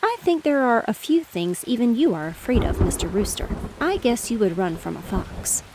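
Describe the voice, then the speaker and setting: Woman as a wry, animated storybook dog. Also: on the high side, normal speed, background noise. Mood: wry teasing. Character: teasing, sceptical barnyard dog, unimpressed by boasting.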